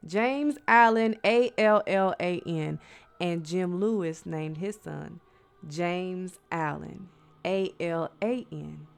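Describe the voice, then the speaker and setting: A woman's voice: fairly low pitch, slow pace, creaky, clear, resonant tone. An American woman storyteller telling eerie bedtime stories in a conversational way, addressing listeners as friends with dramatic emphasis and rhetorical questions. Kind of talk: spooky bedtime storytelling with casual asides. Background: music.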